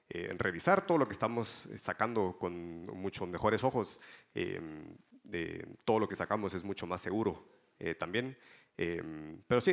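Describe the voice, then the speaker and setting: A man's voice: sits low, creaky, a bit bright. A man speaking as a fintech panelist on a conference stage, hesitant and full of fillers, with light jokes. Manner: hesitant, many fillers, joking.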